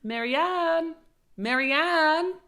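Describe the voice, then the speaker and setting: A man's voice: very high voice, drawn-out words, sharp tone, voice pushed up, heavily acted. A man voicing a bossy, fussy, angry male rabbit in a storybook, scolding and imperious. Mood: urgent, commanding. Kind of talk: audiobook storybook character.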